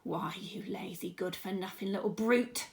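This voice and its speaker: A woman's voice: medium pitch, moderate pace, creaky, lightly acted. A spiteful storybook aunt, acted out by a woman, all vanity and bossiness. She speaks sharply and snappishly, with a screeching edge. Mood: angry, scolding. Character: spiteful, vain, bossy bony aunt, Spiker.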